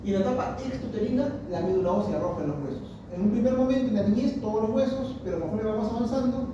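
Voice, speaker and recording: A man's voice: high voice, fast talker, recorded from a distance, muffled recording, background noise. A male histology professor lecturing a class, repeating and restarting phrases and asking students questions. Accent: Peruvian Spanish. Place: large echoey classroom. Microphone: distant room mic. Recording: echoey classroom recorded from afar.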